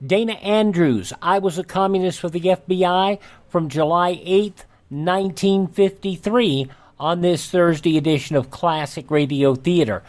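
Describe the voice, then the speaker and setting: A man's voice: sits high, a little slow, dry recording. Guy hosting a radio show, chatty ad read, close and dry sound.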